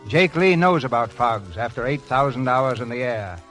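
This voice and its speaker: A man's voice: mid-range voice, normal speed, fairly bright tone, soft background music, low-quality recording. A man delivering scripted announcements and narration in a 1930s radio show style, on an old broadcast recording.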